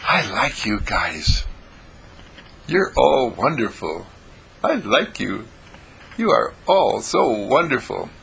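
A man's voice: mid-range voice, sing-song, moderate pace, vocal fry, pushed and bright, slight background noise, voice pushed up, exaggerated voice. A man voicing a mischievous, affectionate littlest boy of the family, speaking in a stage whisper in a storybook tale.

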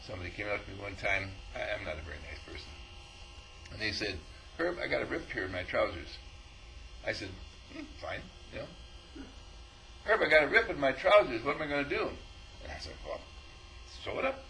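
Lively man telling teaching stories. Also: on the low side, brisk, vocal fry, long pauses, a little hiss or hum, low-quality recording. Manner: animated storyteller, acts out dialogue, chuckles often. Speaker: spiritual teacher. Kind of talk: informal spiritual talk.

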